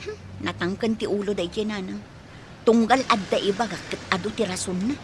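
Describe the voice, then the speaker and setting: A woman's voice: mid-range voice, a little fast, a crowd in the background, natural voice. An actress with a Filipino accent playing a thrifty, frustrated young working woman who complains emphatically in a radio drama. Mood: disappointed. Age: young adult.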